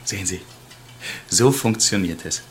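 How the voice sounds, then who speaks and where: A low-voiced scientist explains things with polite, sinister calm. He is measured and matter-of-fact, in a radio drama scene with clear ambient noise. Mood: instructive, pleased. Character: sinister, courteous male scientist obsessed with brain samples.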